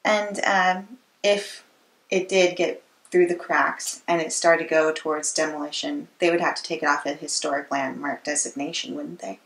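Lowish-voiced young American woman, roomy sound. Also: steady tone, moderate pace, creaky, a bit bright. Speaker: interviewer, host. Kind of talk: casual interview chat. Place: living room with books, indoors. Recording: roomy, echoey living room.

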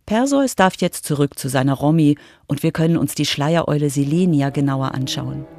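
A woman's voice: low-pitched, animated, normal speed, darkish tone, no room sound, studio quality. A woman reporter reading scripted narration for a children's radio feature.

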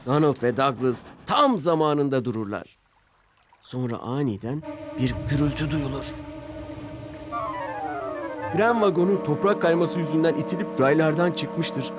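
A man's voice: fairly high pitch, animated, measured pace, pauses often. A man narrating a scripted children's story as the storyteller. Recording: music bed behind narration. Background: music.

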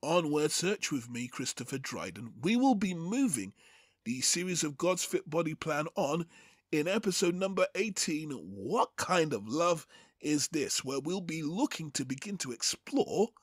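Male Bible teacher, slightly high voice, emphatic and deliberate sermon delivery, close dry sound. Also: very animated, slightly rough, dry recording. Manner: deliberate, emphatic, phrase-by-phrase delivery with rhetorical questions.

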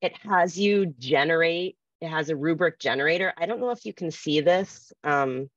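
A woman's voice: low-pitched, animated, normal speed, no echo. A woman giving an informal online workshop, chatty and self-deprecating, thinking aloud as she goes. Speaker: librarian presenting a workshop. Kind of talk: informal online presentation with asides. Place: indoor room, on a video call. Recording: dull, roomless video-call audio.

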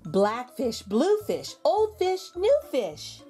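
A woman reading a picture book aloud to young children, with expressive, exaggerated intonation and drawn-out words. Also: sits high, husky. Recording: background music under the voice. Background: music.

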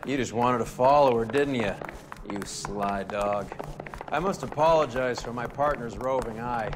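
An American actor playing a cocky, mocking police detective in a video game, with a sardonic, insolent manner. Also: male voice, medium pitch, moderate pace, noisy background, natural voice. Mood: teasing, sardonic. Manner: sardonic, needling.

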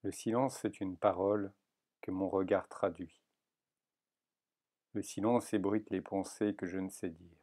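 A man reading poems aloud in a solemn, measured manner, leaving pauses between short phrases. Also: sits low, fairly even intonation, dark tone. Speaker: poetry reader.

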